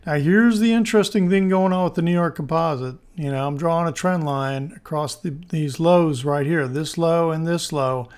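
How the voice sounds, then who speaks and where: A man with a hoarse voice giving informal stock market commentary as host, thinking aloud in a halting, conversational way. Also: on the high side, a little mellow. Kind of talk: informal technical analysis commentary.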